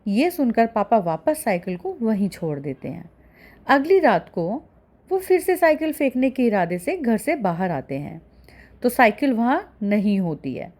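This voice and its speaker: A woman's voice: fairly high pitch, big pitch swings, unhurried, a little mellow. A woman narrating a story for children as a storyteller.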